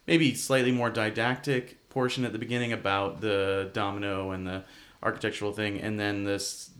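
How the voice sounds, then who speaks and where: A man's voice: fairly low pitch, a bit bright. A man hosting an arts interview in a conversational style, thinking through his questions aloud. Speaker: host interviewer.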